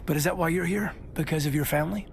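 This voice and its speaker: An actor playing an earnest, dutiful marine officer in a video game, sincere and respectful. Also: male voice, a little high, quick pace, gravelly, a little hiss or hum. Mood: thoughtful. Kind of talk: dramatic video game dialogue.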